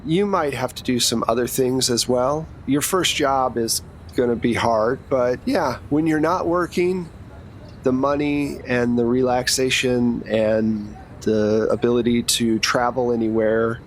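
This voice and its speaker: A man's voice: medium pitch, unhurried, slight background noise, close to an everyday voice. A man playing a businesslike, protective female secret agent recruiter in a tabletop game, explaining things in a matter-of-fact, reassuring way.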